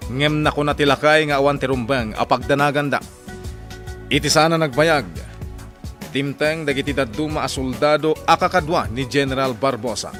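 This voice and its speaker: A male narrator with an Ilocano accent delivers scripted radio-drama storytelling. His voice sits at a medium pitch, with music behind it. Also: normal speed, fairly bright tone.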